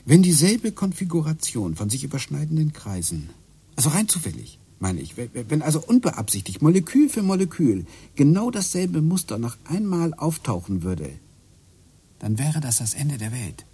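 An obsessive coincidence-hunting husband. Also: male voice, a little high, warm tone, husky, full character voice. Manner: earnest, eager, lecturing. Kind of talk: radio play acting.

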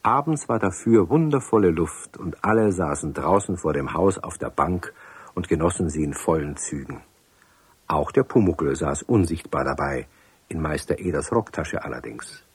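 A man with a low, husky voice narrates a children's radio play in a calm, measured way. The sound is close and dry. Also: dry recording. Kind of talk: scripted storybook narration.

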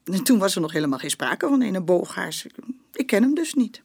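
A woman playing a guarded, uneasy mother in a radio drama, slightly husky and hesitant. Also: medium pitch, big pitch swings, a little fast, darkish tone, exaggerated voice. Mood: guarded, defensive. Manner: hesitant, defensive. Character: guarded, uneasy mother, a former sex worker.

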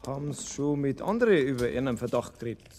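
An actor playing an eager, excitable helper to an inspector, with a Bavarian accent, in a radio crime drama full of reverberation. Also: male voice, mid-range voice, fast, slight background noise, close to an everyday voice. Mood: questioning. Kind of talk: German radio crime drama acting in dialect. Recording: long hall-like reverb.

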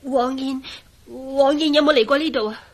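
A woman's voice: sits high, normal speed. An actress playing a plucky, earnest young woman in a radio drama. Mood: anxious defiance. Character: plucky young woman searching for her fiancé. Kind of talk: Cantonese radio drama acting.

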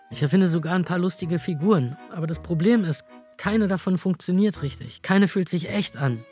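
An actor in a radio drama playing a young son who calmly ponders a cover story for his parents. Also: fairly high pitch, lightly acted. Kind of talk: radio drama inner monologue.

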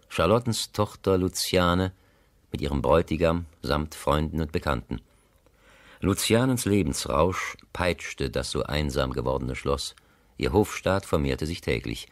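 A solemn male storyteller narrates a classic radio drama. His voice is exceptionally deep and recorded close and dry. He speaks in measured, reflective, elegiac phrases. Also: no echo, full character voice. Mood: narrating briskly. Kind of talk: literary narration in a 1970s radio play.

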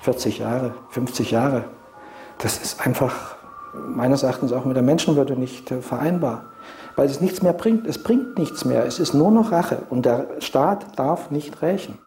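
Mid-pitched man interviewed over music. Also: measured pace, warm tone, roomy sound. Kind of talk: spontaneous interview account.

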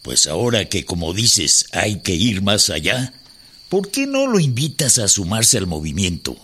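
An actor with a Mexican accent playing a persuasive, pragmatic revolutionary conspirator in a radio drama. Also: male voice, on the low side, wildly swooping pitch, unhurried, a bit bright, husky, full character voice. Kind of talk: Spanish-language radio drama dialogue.

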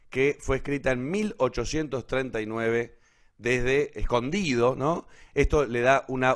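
Man, Argentine accent, literature host, didactic, informal online talk, frequent tag questions. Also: medium pitch.

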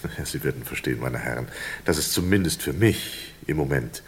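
A low male voice with huge swings in melody a condemned foreign gentleman in a radio thriller. He is silky and sardonic, drawing out his courtesies with calm menace. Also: a little hiss or hum. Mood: coolly taunting. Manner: silky, sardonic, drawn-out politeness. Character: doomed polite foreign prisoner, educated, mockingly calm and menacing. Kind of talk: German crime radio play acting.

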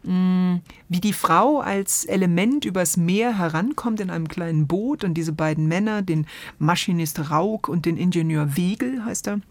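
A woman with a slightly low voice speaks in a dry, close studio sound. She hosts a show on radio plays in a casual, reflective way. Her delivery halts now and then as she searches for the right word. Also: moderate pace, hardly any pauses, no room sound. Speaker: host of a radio drama podcast.

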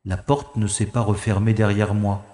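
A man's voice: lowish voice, little pitch movement, moderate pace, dark tone, dry recording, clean studio sound, lightly acted. A man playing an ironic, nonchalant special agent who narrates his own adventure in an audio drama. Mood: curious. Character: wry, cocky special agent, adult man. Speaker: narrator-hero.

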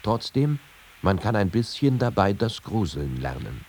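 An actor playing an amused newspaper critic, reading out a review in a radio feature. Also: male voice, on the low side, sing-song, a little slow, a little mellow, a little hiss or hum. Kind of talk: dramatized press reading.

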